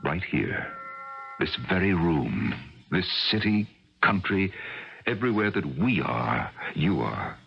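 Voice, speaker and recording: Deep, gravelly scientist father. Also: big pitch swings, slow pace, heavily acted. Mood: earnest, probing. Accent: American. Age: middle-aged. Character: earnest, anguished scientist father bargaining for his family. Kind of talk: radio drama acting. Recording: muffled vintage radio drama recording.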